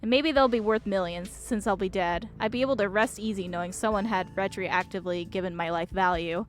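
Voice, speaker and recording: A woman's voice: medium pitch, fairly quick, soft background music, natural voice. Woman voicing a gloomy, sickly young painter guy. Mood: morbid, calm.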